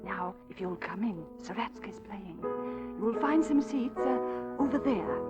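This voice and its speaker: An actress playing a gossipy, gracious titled society hostess, arch and effusive, in old-time radio drama style. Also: female voice, medium pitch, animated, unhurried, natural voice. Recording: dull vintage radio recording with music.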